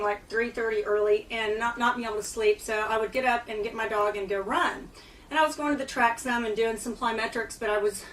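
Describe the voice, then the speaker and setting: A woman's voice: a little high, fairly bright tone, distant mic, a little hiss or hum, low-quality recording. A woman fitness trainer speaking as a radio guest, earnest and chatty with many fillers, still getting over a lost voice. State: recovering from lost voice. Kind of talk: casual radio talk. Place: radio studio.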